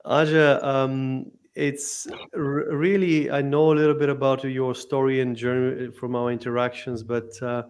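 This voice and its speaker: A man's voice: mid-range voice, flat delivery, measured pace, few pauses, smooth. A man hosting an interview podcast, speaking with many fillers and restarts as he welcomes and questions his guest. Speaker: podcast host.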